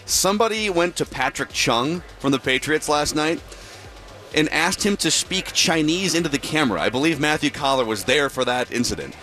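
A man co-hosting a sports radio show in casual chat, excitable and rambling, often restarting his sentences. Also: on the high side, normal speed, soft background music. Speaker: radio co-host. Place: broadcast booth at a busy media row.

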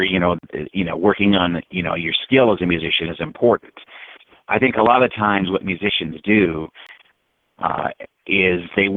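A man with a low voice talks casually and reflectively as an interview guest. His thoughts meander in halting phrases. The sound is narrow like a phone call, very dry and without room echo. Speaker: musician and songwriter, interview guest. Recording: thin, dry, phone-like line.

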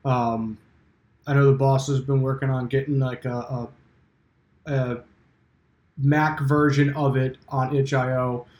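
A man co-hosting a casual podcast, a little tired, speaking haltingly with lots of fillers. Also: mid-range voice, level tone, a little mellow. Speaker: podcast co-host. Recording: roomy echo.

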